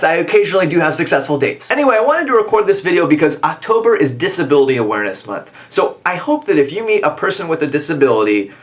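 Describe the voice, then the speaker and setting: A young American man in his twenties telling a comic scripted story to camera as a vlogger, with animated, emphatic delivery. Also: a little high. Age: 20s. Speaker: video blogger and motivational speaker.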